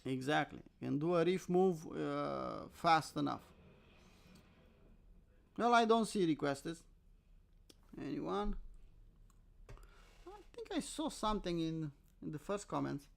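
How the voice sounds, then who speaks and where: A man's voice: fairly high pitch, sing-song, slow pace, creaky, pauses often. A man from Romania with a Romanian accent, hosting casual live trading commentary in an informal, self-deprecating way, often asking and answering his own questions. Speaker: host, crypto trader.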